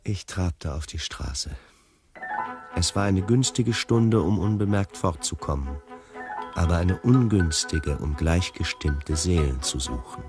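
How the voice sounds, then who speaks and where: A fastidious tiger telling his own story in a literary radio play. The voice is deep and moves through a lively melody, wry and deliberate, with music behind it. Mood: wry reflection. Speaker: first-person narrator. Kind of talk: literary radio drama narration.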